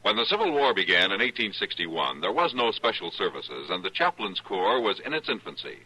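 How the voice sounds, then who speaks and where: A man's voice: sits low, very animated, fairly quick. A man announcing in formal, declamatory 1960s radio style, reading a script. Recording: faintly noisy old radio recording.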